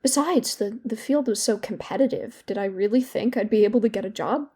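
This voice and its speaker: Soft-toned, wounded young woman confiding , as acted. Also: mid-range voice, slightly rough, close to an everyday voice. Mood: regretful, subdued. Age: young adult. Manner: hesitant, halting, with repeated words and pauses. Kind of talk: intimate confessional monologue in an audio play.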